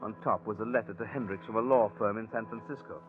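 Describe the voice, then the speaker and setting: A man narrating a 1940s radio suspense drama in a measured, confiding storyteller's manner. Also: on the low side, steady tone. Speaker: narrator and lead actor. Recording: dull old radio broadcast with music.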